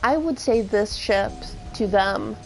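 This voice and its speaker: A woman's voice: medium pitch, animated, slow pace, vocal fry, a little mellow, faint music behind, rough recording. Chatty woman streamer, Swedish native. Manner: chatty, thinking aloud, self-correcting. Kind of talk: casual let's-play commentary.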